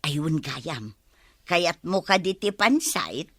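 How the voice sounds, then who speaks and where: Woman playing a slow, bright-voiced older auntie. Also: sits low, animated, no room sound, studio quality, heavily acted. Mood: teasing, gossipy. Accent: Filipino, Ilocano. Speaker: actor in a comedy drama. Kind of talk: Ilocano radio comedy drama acting.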